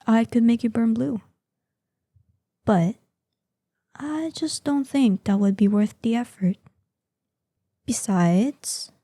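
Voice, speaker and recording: A woman playing an ancient, proud female dragon in a scripted audio roleplay, imperious in manner, with a breathy voice that lapses into whispers. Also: on the high side, mellow, dry recording. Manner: slow, imperious, savoring threats. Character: ancient, menacing, proud female dragon guarding hoard, lonely, excitable.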